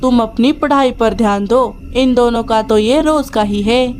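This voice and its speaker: A woman's voice: a little high, measured pace, a little mellow, faint music behind, close to an everyday voice. A woman voicing a grumbling father bird in a children's cartoon, with a chiding, exasperated manner. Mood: scolding.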